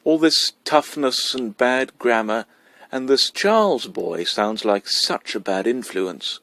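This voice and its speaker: A man's voice: mid-range voice, expressive, takes it slowly, a bit bright, dry recording. Man reading as an anxious, proper young mother. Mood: worried. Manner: concerned, polite. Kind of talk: storybook character voice.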